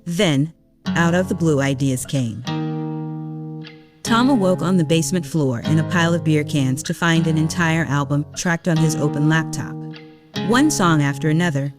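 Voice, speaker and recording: Female narrator, deep register, scripted fiction storytelling over soft background music. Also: normal speed.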